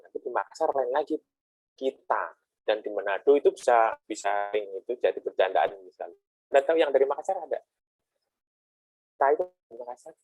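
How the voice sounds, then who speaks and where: A man's voice: fairly low pitch, darkish tone, husky, no echo, rough recording. A middle-aged man giving a classroom lecture in a chatty, explaining way, with frequent rhetorical asides and homely analogies.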